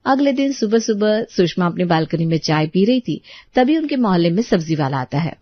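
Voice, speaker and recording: A woman's voice: fairly low pitch, very animated, fairly quick, dry recording, muffled recording. A woman storyteller reading scripted narration for an audio drama.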